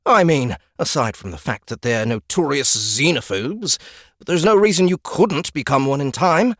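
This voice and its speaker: A haughty fantasy lizard acted by a man lectures like an exasperated guide to lesser beings. The delivery is theatrical and swings widely in pitch. The sound is dry, as in an audiobook. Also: lively intonation, normal speed, fairly bright tone, no room sound. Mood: condescending. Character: pompous, exasperated ninety-one-year-old male lizard guide, youthful-looking. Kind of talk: audiobook character acting.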